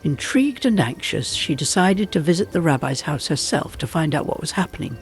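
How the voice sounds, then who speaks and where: A man's voice: on the high side, sing-song, brisk. A man reading a scripted folk tale as a dramatic storyteller. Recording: music bed behind the voice. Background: music.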